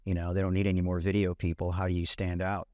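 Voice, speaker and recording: A man in his thirties, a guest content creator, talking in a relaxed way and often saying "you know" and "man". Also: deep voice, fast talker, no room sound, dull audio. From Puerto Rican family, raised in California. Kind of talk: casual interview chat.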